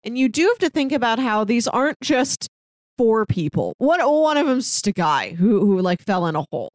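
Lively, expressive woman in casual film talk. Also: fairly high pitch, moderate pace, no echo. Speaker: podcast co-host reviewing a film.

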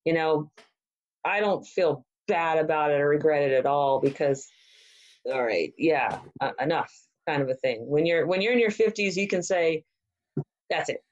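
A woman's voice: low-pitched, normal speed, highs cut off. A woman in her fifties, a former ship's captain, chatting casually as an interview guest, self-deprecating and laughing often. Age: 50s.

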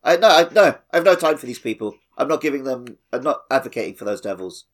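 A man casually chatting as a podcast host, animated and dryly opinionated. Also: medium pitch, quick pace. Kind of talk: casual chat on a gaming podcast.

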